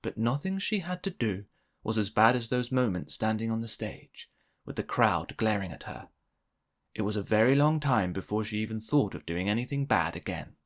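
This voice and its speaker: A man narrating a children's storybook aloud in an expressive read-aloud style. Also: lowish voice, moderate pace. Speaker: storybook reader.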